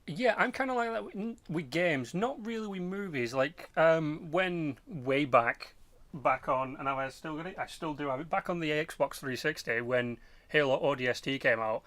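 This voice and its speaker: A man in his twenties hosting a casual podcast chat about films and games, telling things in an animated, informal way with mild swearing. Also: on the high side, moderate pace, no echo. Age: 20s. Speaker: podcast host.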